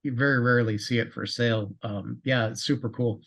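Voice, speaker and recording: Male interview host, mid pitch, relaxed and filler-heavy. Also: a little fast, gravelly. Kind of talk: casual interview.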